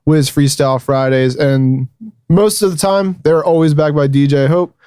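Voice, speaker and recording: A man hosts a podcast in a studio, asking his guest questions in an easygoing way. His voice sits a little higher than average. The close studio mic gives a dry, dead sound. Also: moderate pace, warm tone, clean tone, dry recording. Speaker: podcast host. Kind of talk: casual interview talk. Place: recording studio. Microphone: studio microphone.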